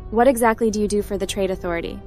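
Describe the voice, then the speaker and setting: A woman playing a cool female hacker and captain in a sci-fi game. She speaks quickly, direct and polite, over faint music. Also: mid-range voice, a little mellow, close to an everyday voice. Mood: inquisitive. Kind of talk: video game dialogue.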